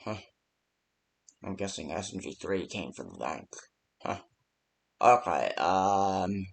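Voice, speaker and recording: A man with a slightly low voice talks slowly, as if leaving phone messages for a game. His delivery is casual and unpolished, full of hesitant pauses and ums as he rambles along. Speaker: phone-call guide in a fan horror game. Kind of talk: improvised game phone-call voice lines.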